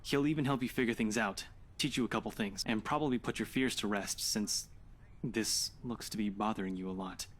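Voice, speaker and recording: A man's voice: on the low side, fairly quick, lightly acted. An actor playing a cocky, skeptical young man in a visual novel game, glib and teasing. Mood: persuasive.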